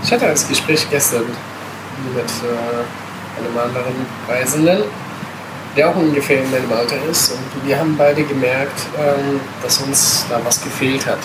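A man in his thirties, born in Italy, talks as a podcast guest about his travels. He pauses and fills gaps with sounds, now and then dropping in English words. A loud air-conditioning hum and background noise sit under his voice. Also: mid-range voice, fairly even intonation. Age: 30s. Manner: hesitant, many filler sounds, mixes English words. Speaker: interview guest, backpacker. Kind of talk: casual podcast interview.

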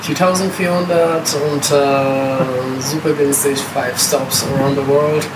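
A man in his thirties, born in Italy, answering questions as a guest on a casual travel podcast, with many pauses and filler sounds. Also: medium pitch, steady tone. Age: 30s. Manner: hesitant, many filler sounds, mixes English words. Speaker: interview guest, backpacker. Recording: loud background noise.

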